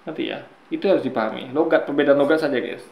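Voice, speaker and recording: A man's voice: medium pitch, rapid-fire, creaky, reverberant, lo-fi sound. A man giving argumentative commentary on a video, lecturing his viewers and often calling them guys.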